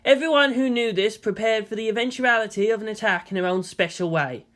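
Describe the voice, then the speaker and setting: A man's voice: high-pitched, fairly quick, a bit bright. Man narrating dramatic fan fiction. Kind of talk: dramatic scripted story narration.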